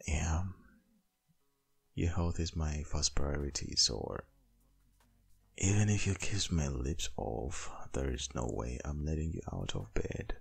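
Man acting a very deep, husky boyfriend. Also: animated, takes it slowly, full character voice. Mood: affectionate. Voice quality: husky, gravelly, breathy. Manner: soft, intimate murmuring, coaxing. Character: doting, protective, gently dominant boyfriend. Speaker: ASMR roleplay performer. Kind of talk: boyfriend roleplay ASMR, intimate and slow.

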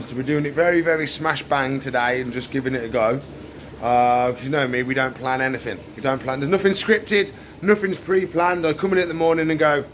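A man's voice: a little high, slight background noise. A man in his thirties chatting casually and informally as he explains what he's doing in an unscripted vlog. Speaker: pet shop vlogger. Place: indoor aquarium shop.

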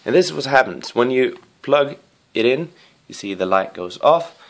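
A man giving a casual hands-on video review in a living room, rambling with apologetic asides and a playful, excitable streak. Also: medium pitch, moderate pace, vocal fry. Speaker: amateur gadget reviewer. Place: living room with wooden floor and rug.

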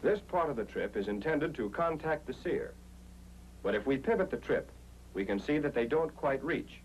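American guy narrating an old 40s army training film, pitch moves around a lot, bit of hum and hiss. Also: animated, normal speed, low-quality recording. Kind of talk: 1940s instructional film narration, scripted.

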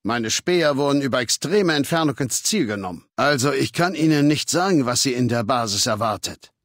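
An actor playing a cold, arrogant warlord dictator in a video game, speaking with condescending, menacing authority. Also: male voice, mid-range voice, animated, brisk, fairly bright tone, no echo. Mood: measured, authoritative. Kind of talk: video game dialogue acting. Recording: dry studio recording.

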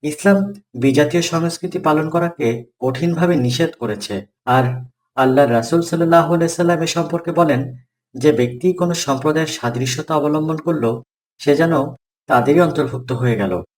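Man as a pious father with a raised pitch. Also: normal speed, close to an everyday voice. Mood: earnest, admonishing. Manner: didactic, solemn. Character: pious, earnest father admonishing his son's young friends. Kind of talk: children's moral cartoon dubbing, preachy.

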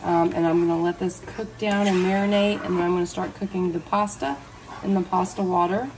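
A woman's voice: on the low side, steady tone, a little fast, darkish tone. Chatty woman cooking in a hotel room. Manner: chatty, talks viewers through each step. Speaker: cooking vlogger. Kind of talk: casual cooking tutorial. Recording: distant mic with clear room noise.

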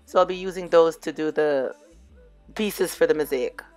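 A middle-aged woman with a hoarse voice, chatting casually as she walks viewers through a do-it-yourself crafts project. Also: deep voice, moderate pace, faint music behind. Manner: relaxed, chatty, addresses viewers as "you guys". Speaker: DIY crafts vlogger. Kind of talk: casual crafting tutorial voice-over.

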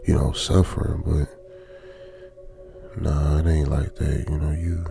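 A man playing a lonesome, uneasy young trucker, muttering colloquially with pauses in an ASMR radio drama. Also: extremely low pitch, little pitch movement, gravelly, over music, exaggerated voice. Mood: remorseful, tender. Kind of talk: ASMR old-school radio drama monologue.

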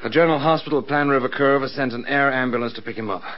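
A male actor plays a dutiful military officer in an old radio play. He is calm and matter-of-fact at a medium pitch. The recording is dull and lo-fi. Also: racing, lightly acted. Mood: dutiful, reporting. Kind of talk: mid-century radio drama acting.

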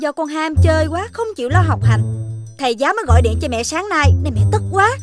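A woman voicing a strict but loving mother dog in a cartoon, expressive, with a Southern Vietnamese accent. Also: high voice, fast talker. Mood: stern. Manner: expressive, emotional. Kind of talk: cartoon dubbing. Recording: music behind the voice.

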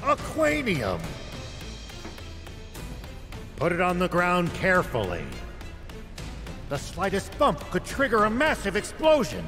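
An actor playing a stern older military veteran in a video game, speaking with grave, commanding urgency. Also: male voice, high-pitched, wildly swooping pitch, measured pace, a bit bright, long reverb tail, soft background music, exaggerated voice. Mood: alarmed. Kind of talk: dramatic video game voice acting.

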